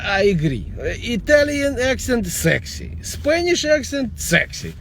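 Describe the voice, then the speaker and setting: A middle-aged man from Russia with a Russian accent, rambling and joking deadpan in a casual vlog from his car. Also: very high-pitched, takes it slowly, vocal fry. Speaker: vlogger. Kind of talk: casual monologue to camera. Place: in a car while driving. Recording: road noise in a moving car.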